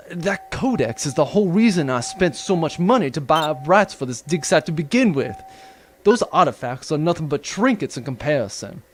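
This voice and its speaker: Man playing an arrogant, animated space baron villain. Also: on the high side, a little hiss or hum. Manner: pompous, gloating. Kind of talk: comedic sci-fi audio drama acting.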